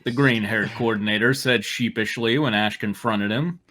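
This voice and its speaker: A man's voice: on the low side, moderate pace, pushed and bright. A man narrating a fan fiction aloud and breaking off for casual riffing.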